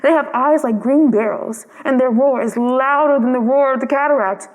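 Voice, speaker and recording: A small, proud swallow from a storybook , acted out by a woman. The voice is high and chatty, with a self-important yet kind-hearted manner. It rings in a long reverb. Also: moderate pace, dull audio, natural voice. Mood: dreamy.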